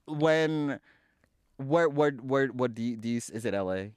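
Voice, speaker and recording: A man's voice: medium pitch, expressive, clear, resonant tone, no room sound, studio quality. A man hosting a podcast in playful, casual conversation. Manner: playful, quick to laugh along. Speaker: podcast host. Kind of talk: casual chat.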